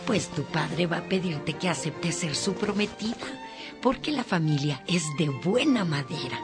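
Woman acting a low-voiced, dignified mother. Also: expressive, a little slow, music in the background. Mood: gentle, guiding. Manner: affectionate, instructive. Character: gentle, dignified mother guiding her daughter. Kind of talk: radio drama acting.

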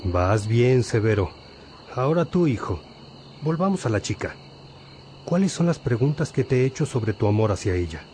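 An actor playing a wise, patient priest and teacher in a religious radio drama, gently questioning and instructing. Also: male voice, medium pitch, big pitch swings, moderate pace, slight background noise, close to an everyday voice. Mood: encouraging. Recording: music behind the voice.

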